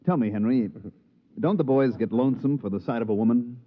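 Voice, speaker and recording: An actor playing a slick, scheming American promoter in a 1950s radio drama, smooth-talking and wisecracking. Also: male voice, mid-range voice, brisk, lightly acted. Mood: ingratiating. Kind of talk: 1950s radio acting, narration and dialogue. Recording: muffled 1950s radio recording.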